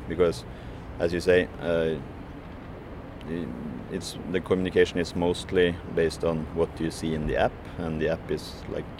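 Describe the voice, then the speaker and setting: A man with a very low voice, answering slowly and hesitantly, stopping often on fillers and restarting his sentences. Clear traffic and street noise run behind him. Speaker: striking food courier. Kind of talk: interview answers.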